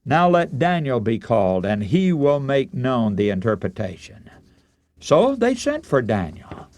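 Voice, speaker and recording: A man preaching a radio sermon, telling a Bible story in solemn, deliberate oratory with dramatic emphasis. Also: mid-range voice, sing-song, darkish tone, dry recording.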